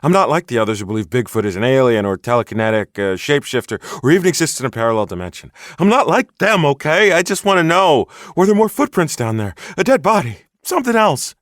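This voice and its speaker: A man voicing an excitable, pushy male Bigfoot hunter who talks in a shrill, frantic, indignant way, in an audiobook. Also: fast talker, hardly any pauses, no room sound, clean studio sound, lightly acted. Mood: excited.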